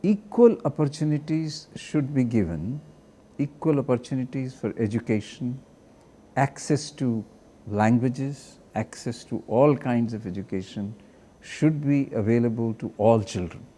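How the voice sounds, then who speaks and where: A man speaks in a deliberate, academic way with a warm, mellow tone at a middle pitch. He builds his points carefully and evenly. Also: animated. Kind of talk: interview.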